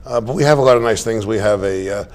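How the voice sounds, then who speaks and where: A man, a guest telling stories in a relaxed, rambling way with many restarts. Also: on the low side, quick pace. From Staten Island, New York. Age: 60s. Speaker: interview guest. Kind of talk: casual interview chat.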